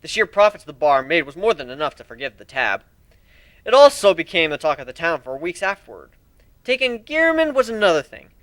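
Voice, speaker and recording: A man's voice: fairly high pitch, big pitch swings, fairly quick, fairly bright tone, dry recording. A man giving an amateur dramatic reading as the narrator of a fan fiction story.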